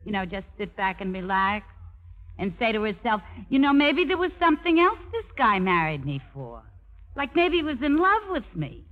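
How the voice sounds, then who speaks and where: A woman's voice: a little high, very animated, normal speed. An actress playing a tough, big-hearted, wisecracking woman sidekick with blunt, slangy delivery in a 1950s radio drama. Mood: blunt, caring. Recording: tinny 1950s radio broadcast.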